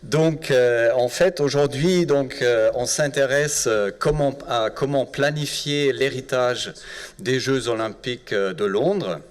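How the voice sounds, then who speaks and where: A man with a Bavarian German accent introduces a public lecture as its moderator. His speech is halting and full of fillers, and his voice sits at an ordinary middle pitch. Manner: hesitant, many filler sounds. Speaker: urban planning professor, event moderator.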